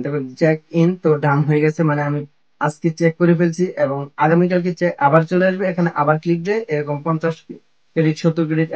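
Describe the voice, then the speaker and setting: A man's voice: fairly high pitch, darkish tone, highs cut off. A man narrating an app tutorial step by step, in a repetitive, instructional way. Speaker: tech tutorial host.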